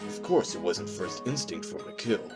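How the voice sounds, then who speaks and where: A man's voice: low-pitched, animated, moderate pace, loud music behind the voice. A man playing a brash animal witness who loves a good fight, in an amateur audio drama. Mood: smug, scornful.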